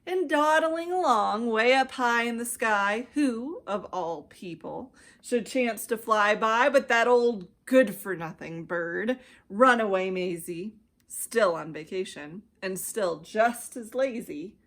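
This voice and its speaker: An American woman reading a rhyming children's storybook aloud as an expressive narrator. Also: fairly high pitch, slow pace. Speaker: children's ministry director reading stories.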